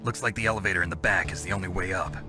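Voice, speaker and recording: A man playing a terse, guarded, gravel-voiced veteran special-ops soldier in a dramatic video game. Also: racing, natural voice. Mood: focused. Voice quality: gravelly, low. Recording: reverberant, with music behind.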